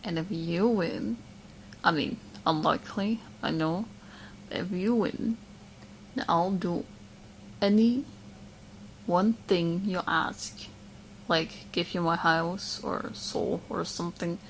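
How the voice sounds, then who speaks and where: Woman acting a smug lovesick witch, lowish voice. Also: slow, long pauses, a little hiss or hum, low-quality recording. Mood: smug, possessive. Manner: coy, smug, chatty, hesitant asides. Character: obsessive, smug young female witch in love. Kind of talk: roleplay audio drama, addressing the listener.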